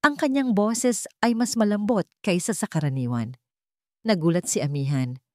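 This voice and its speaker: A woman's voice: mid-range voice, moderate pace, dry recording, studio quality. A woman narrator reading a dramatic scripted love story. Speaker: narrator of Tagalog love stories.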